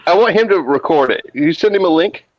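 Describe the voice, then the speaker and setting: A man's voice: on the high side, animated, a little fast, no echo. A man on a casual video-call chat, teasing and cutting in with jokes. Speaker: guest. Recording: dry, dull lo-fi sound.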